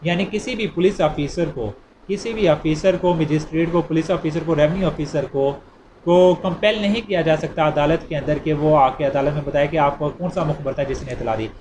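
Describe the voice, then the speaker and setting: A man with a Pakistani accent teaches in a fairly high voice. He explains like a lecturer, conversational but deliberate whenever he reads out formal text. Clear noise runs behind him throughout the recording. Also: a little mellow, low-quality recording. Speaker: law lecturer. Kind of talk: explanatory lecture, reading statutes aloud and explaining them.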